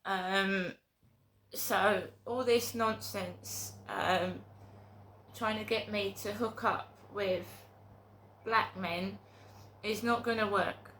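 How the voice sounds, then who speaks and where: A woman's voice: mid-range voice, fairly even intonation, drawn-out words, a bit bright, a little hiss or hum. A middle-aged woman with a cold and sore throat, talking in a rambling, hesitant monologue full of ums and restarts. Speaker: vlogger.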